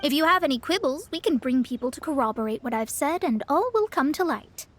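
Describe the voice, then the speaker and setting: A woman's voice: high-pitched, expressive, a little fast, a little hiss or hum. A young woman voicing a proud female astrologist who speaks in formal, lofty words with a haughty air. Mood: cold.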